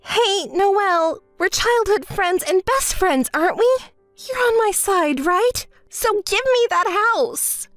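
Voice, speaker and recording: A woman's voice: expressive, takes it slowly, fairly bright tone, no room sound, faint music behind, full character voice. A woman voicing a spoiled, entitled young woman in a melodramatic story, whiny and demanding. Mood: whiny pleading. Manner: whiny, demanding, shrill outbursts.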